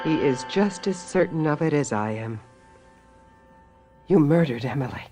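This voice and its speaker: An actress playing a shrewd, menacing sister-in-law who claims to be a witch, in a radio mystery drama, with a husky voice and a deliberate manner. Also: low-pitched, lively intonation, over loud music. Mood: coolly threatening.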